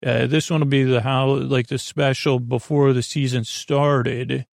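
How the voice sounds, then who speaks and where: A man hosting a bedtime podcast in a rambling monologue, with a gravelly voice and a bumbling way of circling around his point. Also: medium pitch, flat delivery, a little slow, no echo, clean studio sound. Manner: meandering, bumbling, trailing off and circling back. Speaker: podcast host.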